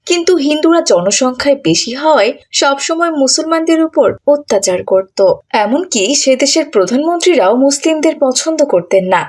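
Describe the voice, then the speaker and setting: A woman's voice: high voice, animated, a little fast, darkish tone. A woman narrating a scripted cartoon story as its storyteller.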